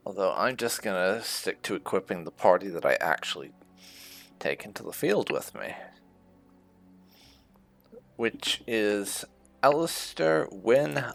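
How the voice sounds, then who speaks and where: A man's voice: lowish voice, lively intonation, slow pace, vocal fry, pauses often, soft background music. A man giving casual let's play commentary as a gaming host, musing to himself with dry asides.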